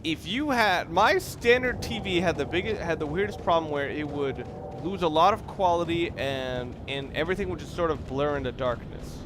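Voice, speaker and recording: A man's voice: a little high, normal speed, hardly any pauses, background noise. A young man giving casual, rambling commentary over a video game and correcting himself as he goes. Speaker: let's play commentator.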